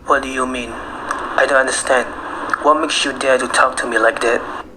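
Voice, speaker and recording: A man's voice: mid-range voice, steady tone, moderate pace, close to an everyday voice. A man playing a short-tempered, lazy, self-righteous husband in an amateur radio drama, irritated and domineering. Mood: defensive anger. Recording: noisy amateur recording.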